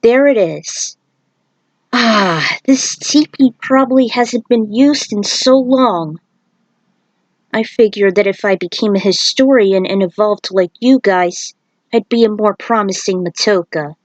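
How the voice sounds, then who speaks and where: A woman voicing an earnest male shaman warrior, brisk and reassuring, in a storybook reading. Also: mid-range voice, no echo, close to an everyday voice.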